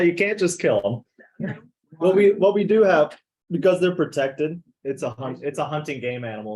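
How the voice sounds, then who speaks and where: A man speaking as a wildlife agency guest, answering audience questions in a friendly, informal way. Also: mid-range voice. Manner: friendly, laughs easily, informal.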